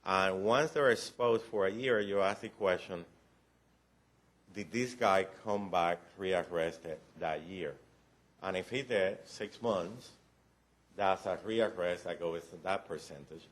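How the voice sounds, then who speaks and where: Very deep-voiced Cuban-accented man presenting. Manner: explanatory, halting restarts, light joking. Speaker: presenter to county commissioners. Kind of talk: formal briefing. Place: county commission meeting room.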